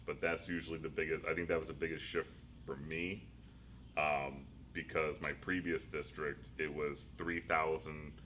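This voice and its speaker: Casting: middle-aged male administrator, very low voice, loose rambling answers, light hiss behind. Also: fairly bright tone. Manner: rambling, self-deprecating, laughs often. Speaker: school district superintendent. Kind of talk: interview answers.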